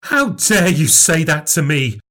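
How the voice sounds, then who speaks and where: A man voicing a bitter, scheming old grandmother who is shrill, indignant and cutting. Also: big pitch swings, unhurried, bright, forceful tone, heavily acted. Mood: furious. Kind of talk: audiobook character voice.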